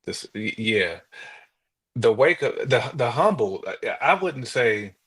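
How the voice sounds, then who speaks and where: A man co-hosting a relationship podcast, chatting casually in a halting, colloquial way. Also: mid-range voice, very animated, a bit bright, husky. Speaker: podcast co-host.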